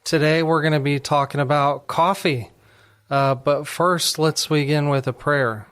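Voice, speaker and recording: A Texan man hosting a radio talk show. He speaks in a steady, measured way with a very flat melody. His voice is smooth and resonant. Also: on the high side. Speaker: attorney and radio host.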